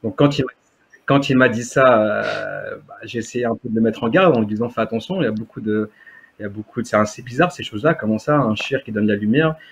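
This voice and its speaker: A man's voice: fairly low pitch, fast talker, creaky. A man in his thirties, a native Berber speaker, telling his story as an interview guest on a video call, hesitant with many fillers and restarts. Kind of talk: testimony in conversation. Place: home room, indoors. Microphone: webcam on video call. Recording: dull lo-fi video call audio.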